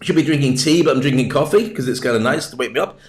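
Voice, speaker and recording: A man's voice: medium pitch, fast talker. A British man hosting a livestream, talking in a chatty, jokey, rambling way into a webcam mic at his desk. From Britain. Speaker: livestream host. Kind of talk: rambling casual monologue.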